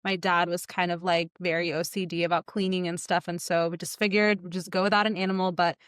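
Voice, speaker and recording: A woman's voice: fairly low pitch, level tone, fast talker, clear, resonant tone, no room sound, studio quality. A young woman from Egypt, a podcast guest, talking casually and rambling, with heavy "like" fillers.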